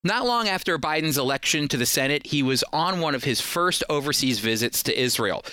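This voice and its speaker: A man's voice: fairly high pitch, animated, brisk, sharp tone, no room sound. An American man hosting a radio program, speaking in an earnest, emphatic, conversational teaching style. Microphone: close studio microphone.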